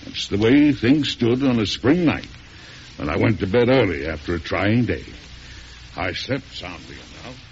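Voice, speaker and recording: An actor playing a proud, stubborn older American president in a folksy, emphatic old radio drama style. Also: male voice, lowish voice, lively intonation, measured pace. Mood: reflective. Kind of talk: 1940s radio drama acting, narrating and playing. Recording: hissy, dull old radio recording.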